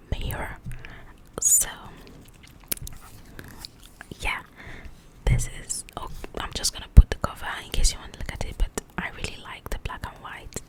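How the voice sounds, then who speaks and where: A woman hosts ASMR in a soft, breathy whisper with no voiced tone. Her talk is informal and chatty, wandering along as she addresses viewers directly. The delivery stays hushed, like a casual one-sided chat. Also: low-quality recording. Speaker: ASMR video host.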